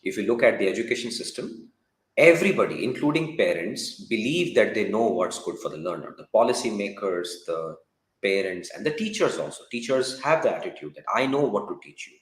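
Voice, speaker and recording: Echoey audio, low voiced guy explaining stuff on a panel, deliberate and emphatic. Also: big pitch swings. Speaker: school founder, panelist. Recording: roomy echoing sound.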